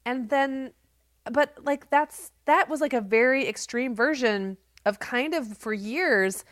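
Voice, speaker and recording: A woman's voice: high voice, takes it slowly, a bit bright, dry recording, clean studio sound. A middle-aged woman co-hosting a podcast, telling stories in a casual, candid, self-deprecating way with a big, projecting voice. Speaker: podcast co-host. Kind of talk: casual conversational podcast talk.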